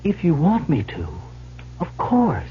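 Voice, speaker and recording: Hesitant young nephew, muffled radio. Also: male voice, sits high, huge pitch swings, slow pace, exaggerated voice. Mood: hesitant, obliging. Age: young adult. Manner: hesitant, polite, stammering. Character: broke, deferential twenty-four-year-old nephew, easily startled. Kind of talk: radio drama acting. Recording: noisy muffled old radio broadcast.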